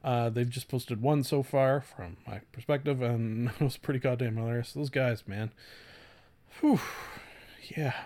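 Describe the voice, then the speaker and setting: A man hosting a solo podcast in a casual, rambling way, halting often, swearing lightly and putting himself down. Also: medium pitch, warm tone. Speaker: podcast host.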